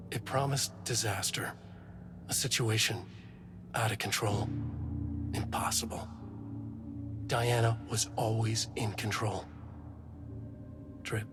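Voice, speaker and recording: A man playing a brooding narrator, reading dark noir prose in grave, deliberate, short dramatic phrases. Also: on the low side. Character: brooding male narrator of dark manuscript pages.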